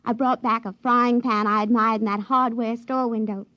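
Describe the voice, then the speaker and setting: A woman's voice: a little high, fairly even intonation, normal speed, a bit bright, dry recording, close to an everyday voice. An actress playing a folksy, stubborn young country woman with a rural American accent and a plain-spoken drawl, in an old-time radio drama. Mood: pleased, matter-of-fact. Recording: muffled vintage radio recording.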